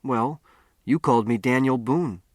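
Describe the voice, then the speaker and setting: A man's voice: medium pitch, a little slow, no echo, lightly acted. Guy playing a self-reliant runaway kid in an audiobook, matter of fact, earnest, dry plain talk.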